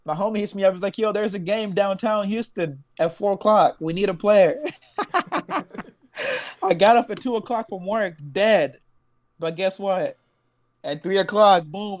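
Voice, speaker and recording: A man's voice: high voice, dry recording. A young man from Tanzania, a podcast co-host telling stories in laid-back, slangy casual chat. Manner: laid-back storytelling, laughs often, slangy.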